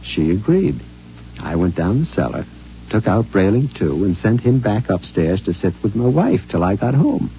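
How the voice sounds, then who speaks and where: A smug, scheming husband. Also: male voice, lowish voice, very animated, normal speed, dark tone. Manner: conspiratorial, amused, self-satisfied. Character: scheming middle-aged husband, smug and sly. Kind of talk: 1950s radio drama acting. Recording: dull old radio recording with faint hiss.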